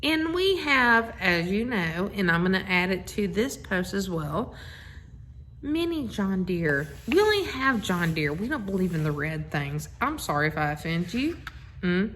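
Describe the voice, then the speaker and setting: A woman's voice: mid-range voice, big pitch swings, unhurried, a bit bright, a little hiss or hum. A middle-aged woman chats casually and effusively into a phone, rambling through asides as she introduces a bedtime story reading. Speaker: host of a family social media video. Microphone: handheld phone.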